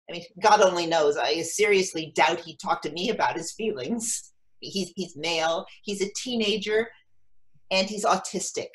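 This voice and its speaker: A woman's voice: medium pitch, a bit bright, close to an everyday voice, rough recording. Woman playing an eager, overinvested mother. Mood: anxious. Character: eager, protective, overinvested mother telling her story. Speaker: actor in a readers theatre. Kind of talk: comic monologue reading.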